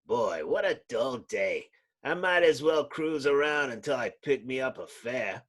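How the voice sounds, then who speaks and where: A man's voice: medium pitch, expressive, measured pace, fairly bright tone. A man voicing an easily spooked working-man cab driver in a comic-book reading. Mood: bored.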